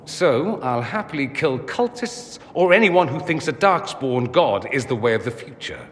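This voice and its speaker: Man playing a suave, animated, vain gentleman mage. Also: medium pitch, moderate pace, slight background noise, natural voice. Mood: wry, self-amused. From Tevinter, a fantasy empire. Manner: flippant, theatrical, self-admiring. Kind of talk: dramatic video game acting. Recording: long hall reverb.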